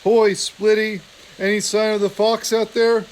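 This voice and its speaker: A man playing bossy rough stoat soldiers. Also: high-pitched, a little slow, slight background noise. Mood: barking. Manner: barking calls and orders.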